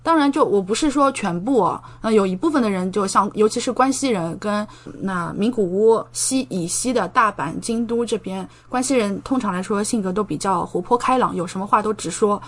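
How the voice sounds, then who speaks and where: A woman's voice: medium pitch, a little fast, hardly any pauses. A young adult woman from the Shanghai area of China, a podcast guest chatting casually and explaining with plenty of examples. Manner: chatty, explanatory, gives examples with acted-out dialogue. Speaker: podcast guest, doctoral student in Japan. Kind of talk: casual interview conversation.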